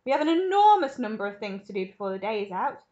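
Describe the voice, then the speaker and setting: A woman's voice: a little high, expressive, a little fast, lo-fi sound, close to an everyday voice. A woman voicing an exuberant, eccentric man who owns a chocolate factory, gushing and repeating himself eagerly in a storybook reading. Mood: eager, bustling. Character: exuberant, eccentric male chocolate-factory owner, gleefully excitable.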